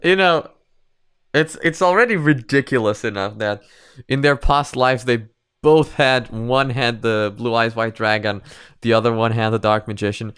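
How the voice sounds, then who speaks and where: A man's voice: medium pitch, normal speed, a bit bright, clean tone, no echo, studio quality. A man hosting a reaction video, giving chatty, casual commentary with apologetic asides.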